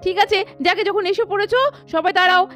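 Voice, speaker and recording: A woman's voice: extremely high pitch, steady tone, fast talker, fairly bright tone, faint music behind. A woman voicing a kindly, busy mother or schoolteacher in a children's cartoon, reassuring and motherly. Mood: kindly, brisk.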